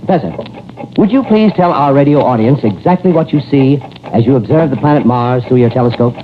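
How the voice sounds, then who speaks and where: An actor playing a courteous, eager male radio news commentator, speaking formally and a little haltingly in a 1930s radio drama. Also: mid-range voice, animated, close to an everyday voice. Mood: formal, inquiring. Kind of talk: 1930s radio drama live-broadcast reporting. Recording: scratchy, tinny 1930s radio recording.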